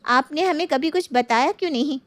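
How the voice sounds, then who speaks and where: A woman's voice: sits high, fairly quick, no echo, pitched above the performer's own voice, natural voice. A woman playing a worried, practical young daughter-in-law in a moral story, anxious yet respectful.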